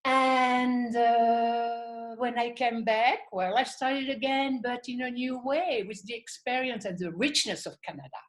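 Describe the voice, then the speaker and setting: A woman's voice: on the high side, measured pace. A woman from French-speaking Switzerland with a Swiss French accent, a guest artist in a conversational interview who laughs often and thinks aloud.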